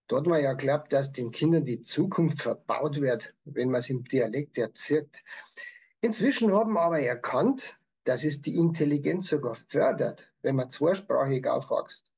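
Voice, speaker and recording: A man's voice: medium pitch, darkish tone, slightly rough. A man with a Bavarian accent, explaining things in prepared answers as an author being interviewed. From Bavaria, Germany. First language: Bavarian dialect.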